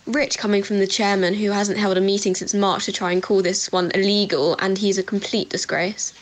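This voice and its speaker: A woman's voice: mid-range voice, steady tone, fairly quick, close to an everyday voice, poor audio. A woman playing an indignant parish councillor in an amateur comic dramatic reading.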